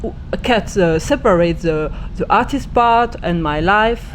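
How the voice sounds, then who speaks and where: A woman from Switzerland, an artist speaking English haltingly, searching for her words in an interview. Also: mid-range voice, expressive, a little hiss or hum. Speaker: artist and director. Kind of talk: interview answers.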